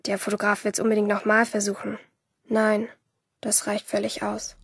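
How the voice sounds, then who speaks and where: An actress playing a lonely, sardonic teenage schoolgirl who narrates her day in a radio drama, in a breathy voice. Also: medium pitch, monotone, moderate pace, dry recording, studio quality. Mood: sullen, sarcastic.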